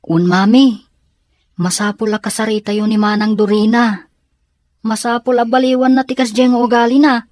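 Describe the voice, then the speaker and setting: High, earnest Filipino boy, voiced by a woman. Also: little pitch movement, moderate pace, pauses often, darkish tone, no room sound, clean studio sound, natural voice. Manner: concerned, earnest. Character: caring, earnest young son of the family. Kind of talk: amateur audio drama acting.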